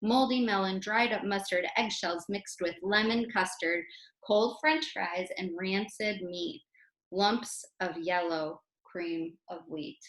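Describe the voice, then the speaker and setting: An American woman reading poems aloud to children in an expressive way, speaking directly to her young listeners. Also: mid-range voice, takes it slowly. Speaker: children's poem reader and teacher. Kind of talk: animated read-aloud for children. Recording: roomy, echoey sound.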